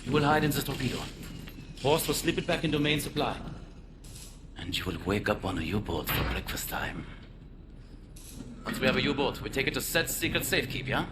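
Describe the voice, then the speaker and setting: An actor playing a ruthless resistance plotter in a video game, giving clipped, wry instructions. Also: male voice, mid-range voice, big pitch swings, a little fast, pauses often, very noisy, lightly acted. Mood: sly, confident. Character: brisk, ruthless male German resistance plotter. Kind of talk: video game drama acting.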